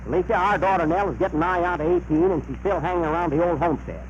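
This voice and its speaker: A man's voice: high-pitched, quick pace, close to an everyday voice. An actor playing a grumbling rural father in an old radio comedy, folksy, dry and exasperated. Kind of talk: 1930s radio comedy acting. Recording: noisy muffled old radio recording.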